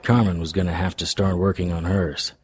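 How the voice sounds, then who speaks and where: A man's voice: low voice, flat delivery, fairly quick, darkish tone, breathy edge. A man playing a wry, world-weary paranormal investigator in an audio drama, narrating and talking with dry wisecracks. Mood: brooding narration. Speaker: lead character and narrator.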